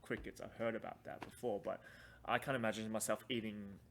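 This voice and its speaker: A man's voice: lowish voice, steady tone, a little fast, creaky, a little hiss or hum. A man from Hong Kong hosting a casual podcast chat in an explanatory way. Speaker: podcast host.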